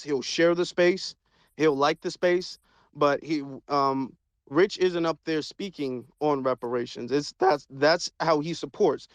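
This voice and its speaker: Measured man moderating a chat room. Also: a little high, no room sound. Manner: measured, hesitant, filler-heavy. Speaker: host of audio chat room. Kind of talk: moderating an online audio discussion.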